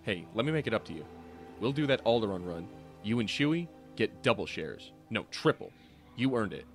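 A man's voice: on the low side, very animated, brisk, soft background music. An actor playing a pleading, wheedling male smuggler sidekick in a comic dub.

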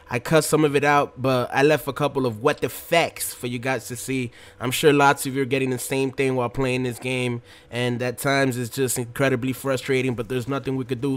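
A man in his twenties giving casual gameplay commentary, talking to his viewers in a slangy, laid-back, rambling way. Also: mid-range voice. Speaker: gaming video commentator.

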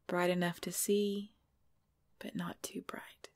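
A woman's voice: on the low side, a little mellow, dry recording. Woman acting a jumpy, easily scared girlfriend, dry sound. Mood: tender, sleepy. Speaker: audio roleplay voice actor. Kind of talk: ASMR girlfriend roleplay, intimate acting. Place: dry close recording indoors.

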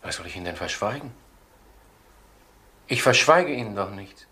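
An actor playing a distraught, lovesick middle-aged murder suspect in a crime radio drama, halting and defensive. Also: male voice, fairly low pitch, lively intonation. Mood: desperate. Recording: noisy old radio drama recording.

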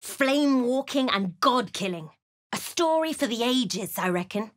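A woman's voice: on the high side, lively intonation, a little slow. A woman playing a wry, irreverent adventurer in a fantasy game, with a dry, sardonic manner. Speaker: game companion character. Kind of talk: scripted fantasy game dialogue.

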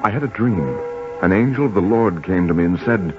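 A protective carpenter husband in an old radio play. His low voice is grave, careful and sincere. The recording is muffled, with music underneath. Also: animated, a little fast. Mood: grave concern. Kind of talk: 1940s radio drama acting.

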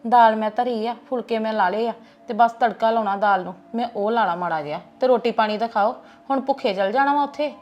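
Woman as older paternal aunt, Punjabi accent, calm, advising, slightly high voice. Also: a little fast, lo-fi sound, close to an everyday voice. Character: wise older paternal aunt giving advice.

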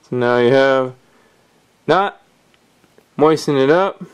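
A man calmly explaining step by step how to tie fishing knots, talking while his hands work at a table indoors. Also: medium pitch, slow pace, clear, resonant tone. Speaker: fishing instructor. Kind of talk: hands-on knot-tying tutorial.

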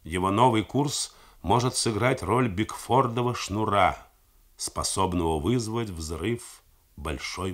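Man, middle-aged, low voice, lively intonation, theatrical literary reading, radio studio. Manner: measured, expressive theatrical delivery with wide pitch swings. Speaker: narrator reading a novel.

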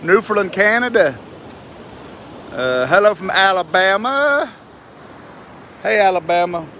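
This is a folksy Southern US man with a slightly high voice. He chats and teases in a casual, joking way, as if to friends on a livestream. A light wash of outdoor wind and surf sits behind him. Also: expressive, measured pace, sharp tone. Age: 50s to 60s. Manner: folksy, jokey, teasing. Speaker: vlogger on a livestream, greeting viewers. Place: outdoors on a windy ocean beach. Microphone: phone at arm's length. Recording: outdoor phone audio, wind and surf noise.

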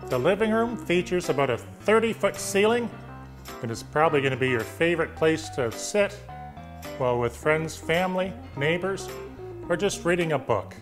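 Middle-aged male realtor, slightly high voice, upbeat sales tour narration over clear guitar music. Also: lively intonation, normal speed. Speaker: real estate agent presenting a property tour.

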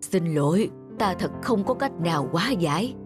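An actor voicing a solemn old male Taoist sage in an animated folk tale, speaking gravely and with measured words. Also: mid-range voice, music in the background, natural voice.

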